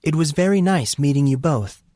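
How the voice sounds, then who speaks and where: Man voicing a polite, high-pitched teenage girl. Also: expressive, moderate pace, mellow, no room sound, studio quality, natural voice. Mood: polite, awkward. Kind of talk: dramatised audiobook dialogue.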